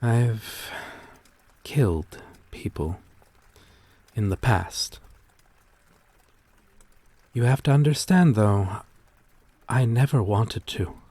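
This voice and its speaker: A man playing a gentle, weary, lonely vampire in an intimate ASMR roleplay, speaking in hesitant, formal, confessional phrases. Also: medium pitch, expressive, very slow, long pauses, husky, no room sound, full character voice. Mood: remorseful, confessional. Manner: hesitant, confessional, formal old-fashioned wording.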